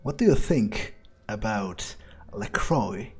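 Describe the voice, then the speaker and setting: A cynical, coolly arrogant vampire as a male let's player plays him thinks aloud in a soft, dark-toned voice. The delivery is casual and hesitant, with a husky edge. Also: lowish voice, expressive, slow pace, heavily acted. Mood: dismissive, musing. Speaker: let's player role-playing in character. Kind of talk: in-character game playthrough commentary.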